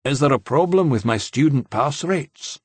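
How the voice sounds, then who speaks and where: A man's voice: medium pitch, animated, normal speed, husky, pitched above the performer's own voice. A man voicing a curt male scientist in an audiobook, politely evasive and deferential to his superior. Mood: politely challenging. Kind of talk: audiobook character acting.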